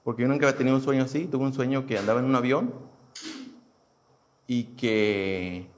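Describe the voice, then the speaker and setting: A man's voice: medium pitch, steady tone, a little fast, muffled recording, a little hiss or hum. A man in his thirties from Houston, telling his personal faith testimony in a casual, hesitant way with many fillers and restarts. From Houston, United States. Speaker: churchgoer giving a testimony.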